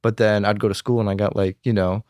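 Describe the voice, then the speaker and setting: A young American man with a low voice talks through his fashion brand as an interview guest. He speaks fast and loosely, scattering "like" and "you know" through every sentence. The recording is close and dry. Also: flat delivery, a little mellow, dry recording. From United States. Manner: fast, filler-heavy talk with many "like"s. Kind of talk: casual interview conversation.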